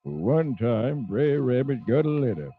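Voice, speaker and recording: A man voicing a folksy, wise old male storyteller in a slow manner. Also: medium pitch, sing-song, noisy background. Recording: tinny old radio recording with music behind.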